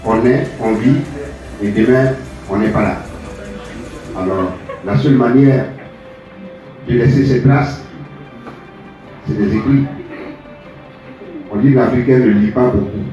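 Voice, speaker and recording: A man answers audience questions as an author, speaking deliberately and repeating phrases for emphasis. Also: medium pitch, mellow, highs cut off. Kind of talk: spoken answers at a public book presentation. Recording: echoey hall, distant mic, music behind.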